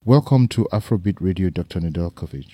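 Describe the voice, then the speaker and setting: A man hosting a radio interview, introducing his guest and asking questions in a formal, unhurried way. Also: sits low, dark tone, slightly rough, no room sound, clean studio sound. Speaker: radio show host.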